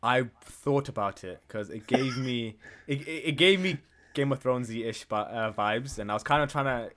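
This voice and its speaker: A man with a medium-pitched voice chats as a podcast co-host. His talk is loose and informal, with hesitant, self-interrupted sentences. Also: normal speed, a bit bright. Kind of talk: casual podcast chat.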